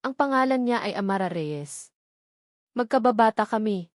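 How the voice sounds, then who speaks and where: Young politician, male a woman storyteller acting him out: calm, earnest, quietly protective, romance audio drama. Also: fairly high pitch, moderate pace, no echo, clean studio sound, lightly acted. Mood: quiet, confessing.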